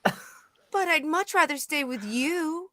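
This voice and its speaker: A woman's voice: high-pitched, expressive, slow pace, bright, forceful tone, dry recording, full character voice. A woman voicing a coy, bluffing young maiden in a comedic script read, with a coquettish, melodramatic manner. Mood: sweet.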